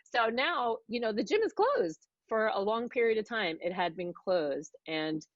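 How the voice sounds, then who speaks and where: A woman's voice: mid-range voice, animated, a little slow, dry recording. Podcast host, woman, talkative and laughing, dry muffled recording. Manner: warm, chatty, laughs often, rambling restarts. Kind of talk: casual interview chat.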